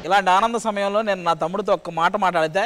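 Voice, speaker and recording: A man with a Telugu Indian accent doing loud, exclamatory, teasing comic banter on a stage show. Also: sits high, steady tone, very fast, over a noisy crowd, heavy background noise. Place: TV studio stage. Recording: loud music behind.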